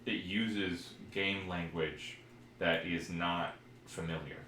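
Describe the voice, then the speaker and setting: Man, very low voice, casual podcast co-host, rambling, echoey room, faint noise. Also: steady tone, slow pace, sharp tone. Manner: rambling, searching for details. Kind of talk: casual podcast chat about retro games. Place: indoor room, recorded live on stream. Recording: roomy echo, clear background noise.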